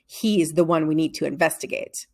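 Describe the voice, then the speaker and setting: A woman playing a thoughtful, determined schoolteacher in a children's story, measured and serious. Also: sits low, voice pushed down.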